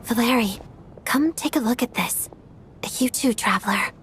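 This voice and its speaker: A woman's voice: a little high, moderate pace, noisy background, natural voice. An actress playing a methodical, observant young woman investigator in a video game, speaking in a precise, matter-of-fact way. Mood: focused. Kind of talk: anime-style video game cutscene acting.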